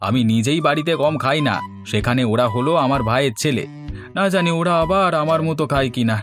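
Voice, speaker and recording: A man's voice: medium pitch, fairly quick, lightly acted. A man voicing a worrying, big-eating village husband and uncle in a cartoon. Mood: fretful, chatty. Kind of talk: cartoon dialogue. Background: instrumental music.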